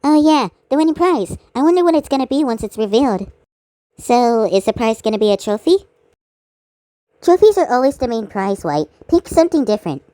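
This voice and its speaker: High girly cartoon voices by a woman, fan made, swings up and down a lot, no room sound. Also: big pitch swings, fairly quick, warm tone, no echo. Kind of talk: amateur fan voice acting of animated characters.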